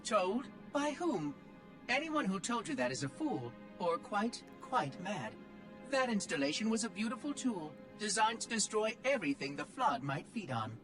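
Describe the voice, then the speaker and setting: A man's voice: sits high, very animated, moderate pace. A man playing a fussy, officious ancient artificial intelligence in a game cutscene, speaking precisely over music. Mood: indignant, fussy. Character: fussy, prim, ancient machine oracle, guardian of an installation.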